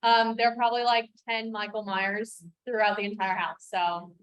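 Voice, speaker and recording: A young woman on a student club board, loud and bubbly, chatting casually at a club meeting. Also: mid-range voice, level tone, moderate pace, highs cut off.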